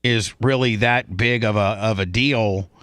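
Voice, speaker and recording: Bright, forceful male talk radio host. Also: on the low side, steady tone, unhurried, clean tone, dry recording, clean studio sound. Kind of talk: casual talk radio banter.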